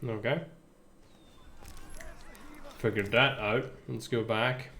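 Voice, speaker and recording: A man streaming a game live, chatting in a calm, relaxed way with his viewers. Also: medium pitch, slow, slight background noise. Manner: relaxed, chatty, addresses chat. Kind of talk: casual live gameplay commentary.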